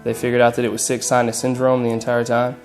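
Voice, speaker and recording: A nineteen-year-old man telling his own story to camera in an even, matter-of-fact way, with frequent pauses and fillers. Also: mid-range voice, faint music behind. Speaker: interviewee.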